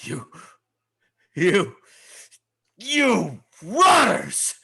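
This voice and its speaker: A man's voice: high voice, huge pitch swings, very slow, creaky, pushed and bright, husky, full character voice. A man playing a proud, gallant, old-fashioned starship captain with formal, theatrical speech in a script table read. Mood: outraged. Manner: formal, theatrical, clipped orders.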